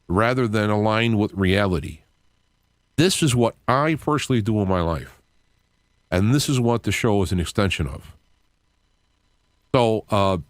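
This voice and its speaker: An older man, around sixty, hosting a radio show with an earnest, reflective, unscripted monologue in halting phrases. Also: medium pitch, no room sound, studio quality. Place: cluttered home radio studio, indoors. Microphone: close studio microphone with headset.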